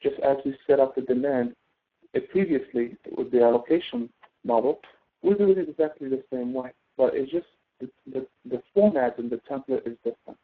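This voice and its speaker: A man's voice: mid-range voice, expressive, brisk. A man tutoring step by step through a spreadsheet task, explaining and often checking that the listener follows. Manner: explanatory, often checks understanding with tag questions. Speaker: instructor, tutor. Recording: narrow tinny phone-like line.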